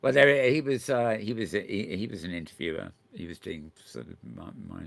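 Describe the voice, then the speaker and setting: Older guy, low gravelly voice, rambly host chat with lots of stammering restarts. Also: brisk. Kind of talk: casual chat.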